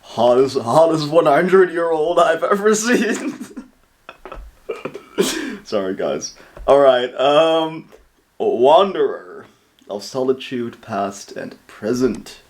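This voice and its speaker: A man's voice: fairly high pitch, sing-song, slow. A man hosting a reaction video, chatting and rambling casually to his viewers.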